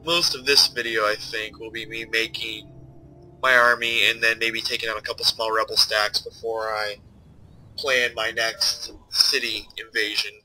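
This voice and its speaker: A man's voice: fairly low pitch, level tone, moderate pace, pushed and bright, soft background music, poor audio. A young man with a stuffed-up, nasal voice, casually commenting while he plays a strategy game and thinks aloud. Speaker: let's play host.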